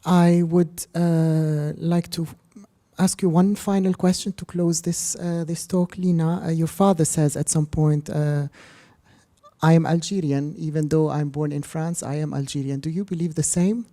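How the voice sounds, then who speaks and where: A man's voice: fairly high pitch, steady tone, unhurried, mellow. A man, a festival curator, politely moderating an online Q&A over a video call. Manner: polite, formal.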